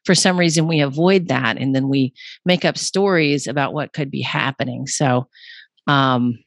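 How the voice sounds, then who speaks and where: A low-pitched woman with a slightly hoarse voice, chatting casually and rambling through fillers and restarts. The recording is dry and close, with no room sound. She talks as a podcast guest explaining her ideas. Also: moderate pace, no room sound. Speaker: guest nutrition author.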